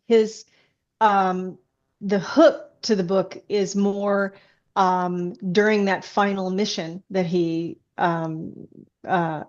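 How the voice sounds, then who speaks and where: A woman guest in a casual interview, heard through slightly dull, band-limited audio. Her pitch is mid-range, and she explains herself haltingly, feeling her way through phrases. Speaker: guest author being interviewed.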